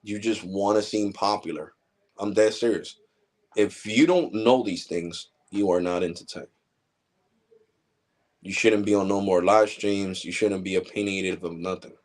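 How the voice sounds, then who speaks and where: A man in his forties rants to his livestream audience as a tech channel host. He is blunt and heated, swearing freely as he lectures viewers, and his voice sits a little low. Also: steady tone, a little slow, darkish tone. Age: 40s. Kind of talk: casual ranting livestream monologue.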